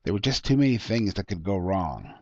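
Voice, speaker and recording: A man with a low voice narrates fiction from a script, as an author reading his own work. The recording is dry and somewhat dull, with no room sound. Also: very animated, fairly quick, mellow, no echo.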